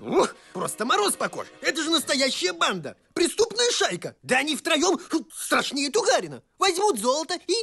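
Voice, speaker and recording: High-pitched scheming cartoon horse. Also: male voice, wildly swooping pitch, moderate pace, creaky, few pauses, pushed and bright, soft background music, exaggerated voice. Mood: conspiratorial, alarmed. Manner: wheedling, theatrical, chattering. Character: fast-talking, cunning, cowardly male talking horse. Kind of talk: animated comedy film acting.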